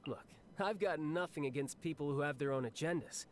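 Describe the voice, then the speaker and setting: Man acting a breezy, higher-voiced young warrior. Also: a little fast, natural voice. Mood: frank, resolute. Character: cocky, battle-hungry, cheerful young male warrior officer. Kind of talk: scripted video game character monologue.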